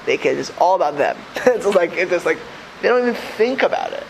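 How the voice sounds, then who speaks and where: A man in his thirties, a rabbi giving a casual Torah class, animated, often repeating words and restarting sentences. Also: sits high, fairly quick, gravelly, slight background noise, poor audio.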